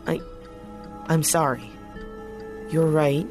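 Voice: medium pitch, steady tone, slow pace, natural voice. Subdued, guarded fixer played by an actor, music behind. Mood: subdued, apologetic. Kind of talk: naturalistic audio drama acting.

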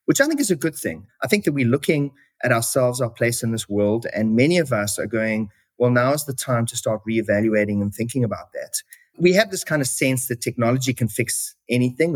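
South african guy talking thoughtfully in an interview, dry close sound, middle pitch. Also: dry recording. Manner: reflective, with many fillers like "you know". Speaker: company chief executive, guest. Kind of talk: interview answers.

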